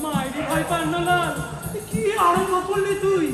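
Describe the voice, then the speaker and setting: A man's voice: extremely high pitch, normal speed, over a noisy crowd. A man playing a flustered amateur actor in a comic stage play, theatrical and given to solemn sing-song declaiming. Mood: flustered, exasperated. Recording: distant echoey hall recording with music.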